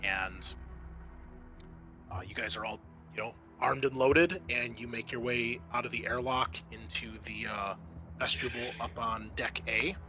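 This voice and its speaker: Bright-voiced male game master. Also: medium pitch, fairly even intonation, a little slow, soft background music. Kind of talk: narrating a tabletop game session.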